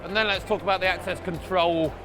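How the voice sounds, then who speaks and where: A man's voice: sits high, fairly even intonation, a little fast, music in the background. A man presenting a conversational product interview, chatty and repeating words as he frames his questions.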